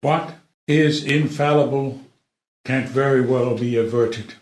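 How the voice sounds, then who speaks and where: A man's voice: medium pitch, fairly even intonation, slow, natural voice. An actor playing a grave, proud old king, declaiming solemnly in a classical drama reading. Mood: despairing. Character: grave, proud old king lamenting his ruined realm. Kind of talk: unrehearsed table read of classical verse drama. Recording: echoey room.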